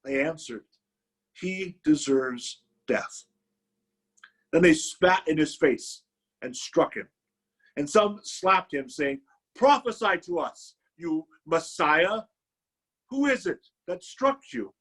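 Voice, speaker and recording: A middle-aged pastor, a man with a high, rough-edged voice, declaims scripture emphatically. He takes it very slowly, reading dramatically over a video call. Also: lively intonation, fairly bright tone. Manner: emphatic, declaiming the quoted speech. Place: indoor room, on a video call.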